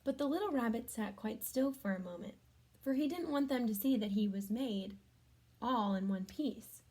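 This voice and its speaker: A woman reading a storybook aloud to children, adding gentle chatty comments and questions for young listeners. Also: a little high, animated, normal speed, breathy edge. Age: adult.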